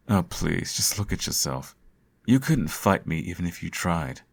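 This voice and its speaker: Guy doing a suave villain in an asmr roleplay, super low husky voice, slow n coaxing, teases but dotes. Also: full character voice. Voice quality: gravelly, husky. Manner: suave, theatrical, coaxing, uses pet names. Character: teasing, doting male supervillain nursing his hero nemesis. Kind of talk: intimate ASMR roleplay acting.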